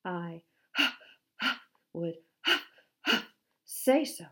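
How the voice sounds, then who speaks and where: A woman's voice: medium pitch, very animated, moderate pace, darkish tone, voice pushed up. A woman voicing a vain, pompous and reluctant male musk ox in a storybook, with a grandiose, put-upon manner. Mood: reluctant, weary.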